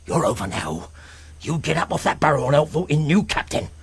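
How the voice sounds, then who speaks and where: An actor playing a surly, blustering mutinous pirate crewman with a rough voice in a radio drama. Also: a little high, big pitch swings, brisk, exaggerated voice. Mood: defiant. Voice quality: rough, rasping. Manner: blustering, accusing.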